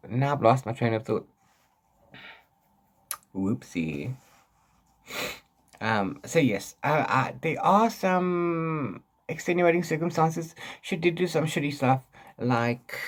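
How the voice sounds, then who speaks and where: A man's voice: mid-range voice, vocal fry. A man talking casually as a book vlogger, rambling and often interrupting himself with repeated words. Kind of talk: casual book chat to camera.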